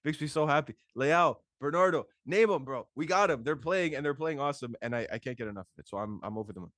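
A young man chatting casually about football as a podcast host, excitable and slangy with emphatic repetition. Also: mid-range voice, quick pace, no echo, studio quality. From Toronto, Canada. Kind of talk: casual sports chat.

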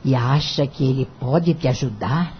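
An actress playing a gentle mother of a grown son, speaking tenderly in a radio drama. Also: rumbling low, slight background noise. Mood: fond, encouraging. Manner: tender, unhurried. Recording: dull, lo-fi radio recording.

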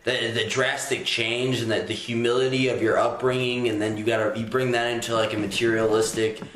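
A man hosting a casual interview, asking questions in a relaxed, slangy way. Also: mid-range voice, brisk, reverberant, low-quality recording. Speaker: host.

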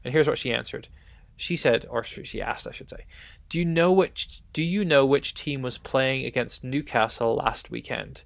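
Man with creaky, dry-sounding casual delivery. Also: medium pitch, moderate pace, dry recording. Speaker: podcast co-host. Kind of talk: casual football quiz chat.

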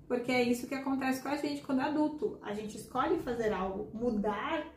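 Hoarse woman, echoey room. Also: high voice, brisk. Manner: earnest, addresses listeners directly. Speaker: podcast host and hypnotherapist. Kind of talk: casual podcast conversation. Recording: echoey room with faint background noise.

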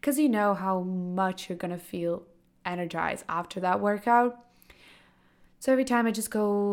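A young woman in her late teens talks through a solo podcast episode. Her voice is raspy and hoarse from a cold. She rambles casually, with plenty of fillers. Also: on the low side. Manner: chatty, loose, full of "like" and "kinda". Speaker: podcast host.

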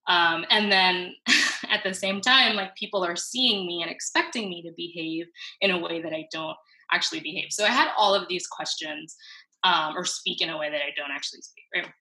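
A woman, an interview guest talking thoughtfully and haltingly in a casual reflective conversation. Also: fairly low pitch, sharp tone, rough recording. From New York City. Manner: thoughtful, halting, with many fillers and restarts.

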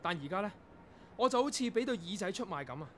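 Actor as a high-voiced, brusque young male composer. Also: lively intonation, fast, a little hiss or hum. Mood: anguished outburst. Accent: Hong Kong Cantonese. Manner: curt, brusque, dramatic. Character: tormented young male composer, curt, anguished, losing control of his ears. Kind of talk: radio drama acting.

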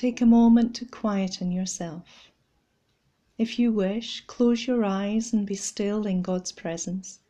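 Slow, mellow woman guiding a meditation. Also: medium pitch, fairly even intonation. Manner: gentle, measured, instructional. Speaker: guided meditation leader.

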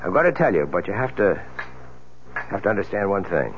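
An actor playing a persistent, world-weary police lieutenant in a 1970s radio drama, speaking in a measured, insistent way with deliberate pauses. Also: male voice, deep voice, sing-song. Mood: earnest, insistent. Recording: dull, noisy 1970s radio recording.

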